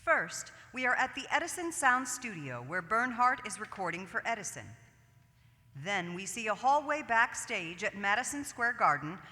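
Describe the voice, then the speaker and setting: A woman's voice: a little high, big pitch swings, moderate pace, bright, forceful tone. A woman reading stage directions as scripted narration for a staged theatre reading. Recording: reverberant hall, stage recording.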